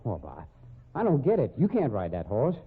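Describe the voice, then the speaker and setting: An actor playing a scheming male rodeo gambler in a 1940s radio drama, with a gruff, slangy drawl. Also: mid-range voice, wildly swooping pitch, moderate pace, heavily acted. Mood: rattled. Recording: tinny old radio broadcast.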